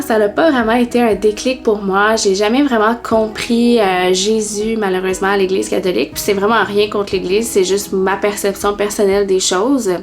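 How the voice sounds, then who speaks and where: A woman in her twenties with a Québécois accent, telling her personal faith story in a vlog with many pauses and fillers. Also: mid-range voice. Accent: Québécois French. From Quebec, Canada. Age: 20s.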